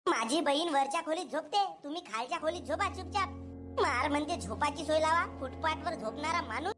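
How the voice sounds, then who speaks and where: A man's voice: very high-pitched, expressive, fairly quick, over music. A man with a rural Maharashtrian accent voicing a cheeky, insulting young brother-in-law in a comedy cartoon, mocking and scolding. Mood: scornful.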